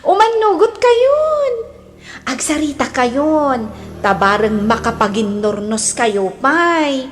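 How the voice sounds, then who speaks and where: A woman's voice: high voice, big pitch swings, slow, exaggerated voice. A woman playing a sweet, coaxing, giggly young daughter in a comedy radio drama. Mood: pleading, coaxing. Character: sweet, pleading young daughter, cheerful peacemaker.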